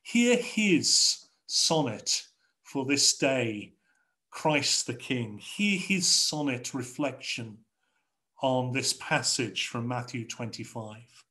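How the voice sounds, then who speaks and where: A middle-aged man with an English accent preaching a sermon from a home study over a video call, in a measured, rhetorical way with repeated phrases. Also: mid-range voice, animated, pushed and bright. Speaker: pastor. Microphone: video call webcam.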